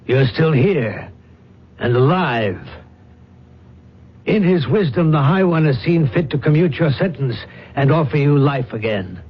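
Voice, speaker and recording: An actor playing a cool, calculating controller of an alien planet in a radio drama, speaking in a measured, insinuating way. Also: male voice, on the high side, animated, a little hiss or hum. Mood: cool, formal. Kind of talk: old-time radio drama acting. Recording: dull, muffled radio drama recording.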